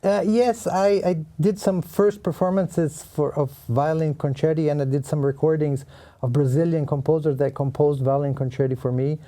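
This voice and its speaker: A man's voice: a little high, steady tone, warm tone. Earnest man with a delivery. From Italy. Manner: earnest, slightly halting non-native phrasing. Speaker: guest violinist. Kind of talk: studio interview answers.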